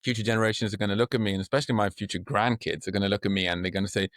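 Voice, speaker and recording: A man's voice: fairly low pitch, fairly even intonation, racing, a bit bright, no room sound, studio quality. A middle-aged man from Sweden with a Swedish accent, a podcast guest speaking expansively and in vivid images. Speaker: guest, company co-founder. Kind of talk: podcast interview.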